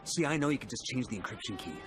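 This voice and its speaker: Male actor as game commando, medium pitch, tough, dry, clipped, businesslike, music behind. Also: expressive, rapid-fire, lightly acted. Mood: stern. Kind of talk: video game cutscene acting.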